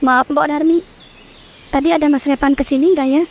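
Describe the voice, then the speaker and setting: A woman's voice: high voice, steady tone, moderate pace, a little hiss or hum. A woman voicing a worried, polite young wife in a horror cartoon. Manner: anxious, polite, questioning.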